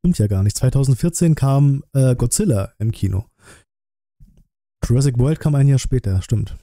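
Male reaction streamer, thirties, very sharp bright voice, dry close-mic sound, casual and blunt. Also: medium pitch, lively intonation, normal speed, no room sound. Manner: blunt, slangy, colloquial. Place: small indoor streaming room. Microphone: close desk microphone.

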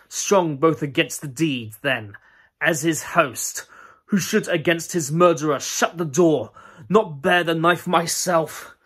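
A young man playing a guilt-torn Scottish nobleman, speaking Shakespearean verse in a measured, brooding way with a husky voice. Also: on the high side, a bit bright, heavily acted. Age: young adult. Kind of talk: Shakespeare audition monologue.

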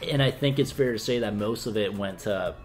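A man's voice: on the low side, a little fast, a little mellow. A young man co-hosting a casual film review in a small indoor room, with faint music behind. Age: young adult. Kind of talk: casual movie review chat.

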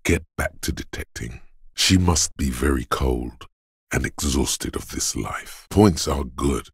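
An actor voicing the brooding inner voice of a detective's body in a video game, gravelly and husky, speaking gravely and deliberately with theatrical weight. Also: male voice, a bass voice, no room sound, studio quality, heavily acted. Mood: grave, measured. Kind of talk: scripted game narration in second person.

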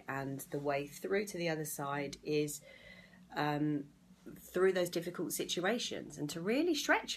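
Woman, 30s, low and croaky voice, friendly live-stream coach talking to a phone at home. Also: a bit bright. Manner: chatty and encouraging, greets viewers by name. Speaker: anxiety coach hosting a live video. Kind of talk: casual live-stream talk to viewers. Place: small indoor room at home. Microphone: phone or laptop camera at arm's length.

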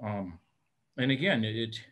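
Halting middle-aged coach on video call. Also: male voice, on the low side, animated, fairly bright tone. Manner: halting, repeats words, many fillers; plain-spoken, frank. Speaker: head hockey coach. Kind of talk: postgame press conference answers. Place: indoor room in front of team backdrop. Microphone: webcam on video call.

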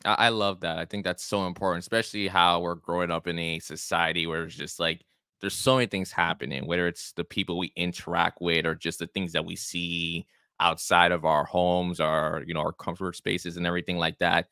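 A man's voice: low voice, level tone, brisk, sharp tone, clean tone, dry recording. A young man hosting a podcast from a small home studio, talking in a chatty, encouraging way. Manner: encouraging, chatty, repeats himself. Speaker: podcast host. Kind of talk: casual interview chat. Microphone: studio mic with headphones.

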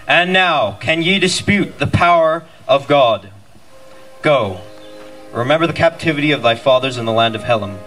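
Solemn higher-voiced angel played by a man. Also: a bit bright, poor audio. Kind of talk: amateur pageant, archaic scripture recitation. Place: outdoor stage at night. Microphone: handheld mic. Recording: outdoor stage sound with music.

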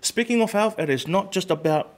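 A fairly high-voiced man with a Samoan accent, in his thirties, speaking earnestly into a desk mic. He talks in a small indoor studio with a lively, gesturing delivery. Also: fairly quick. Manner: earnest, animated hand gestures. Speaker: guest, health show host. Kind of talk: casual studio chat. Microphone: headset with desk mic.

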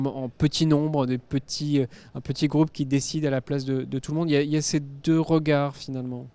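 A man in his forties with a French accent puts questions as an interviewer. His voice is a little high for a man and cleanly resonant, held close to a handheld microphone. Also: darkish tone, smooth, no echo. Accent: French from France. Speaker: interviewer, host. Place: indoor interview set at a convention.

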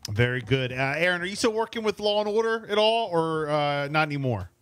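A man's voice: on the high side, expressive, brisk, fairly bright tone, clean tone. A man around his forties hosting a casual radio interview, rambling a little hesitantly on a close studio microphone. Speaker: radio host. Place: small indoor radio studio. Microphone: close boom studio microphone with headphones.

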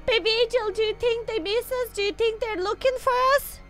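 A woman's voice: very high voice, brisk, fairly bright tone. A woman voicing an anxious little baby girl in an improvised game roleplay, fretting and exclaiming in a babyish way. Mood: worried.

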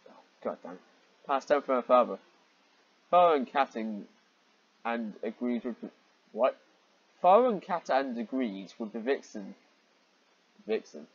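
A man's voice: medium pitch, slightly rough, no echo, lo-fi sound. A man reading a story aloud in a mocking way, exasperated and often breaking off into rambling asides. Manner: exasperated, easily sidetracked, breaking off to comment. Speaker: reader and commentator of fan fiction.

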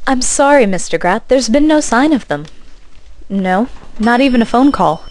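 A woman's voice: fairly high pitch, lively intonation, moderate pace, a little mellow, close to an everyday voice. A woman acting a helpful office secretary in an audio drama.

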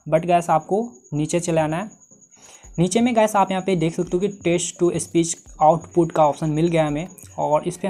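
Young man, Indian accent, mellow tone, step-by-step tutorial host, lapel mic, speaks straight to viewers. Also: high-pitched. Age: 20s.

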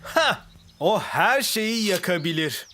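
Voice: mid-range voice, big pitch swings, takes it slowly, bright, forceful tone, a little hiss or hum, exaggerated voice. An actor dubbing a boastful, showy young cartoon swordsman. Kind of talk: Turkish cartoon dub. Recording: music behind.

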